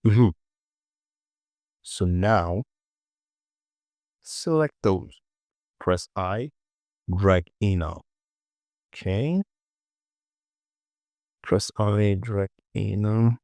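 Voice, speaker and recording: A man giving an informal software tutorial as a voice-over, often repeating words and short phrases. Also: lowish voice, extremely slow, long pauses, dry recording. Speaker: 3D modelling tutor. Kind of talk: casual screen-recorded software tutorial.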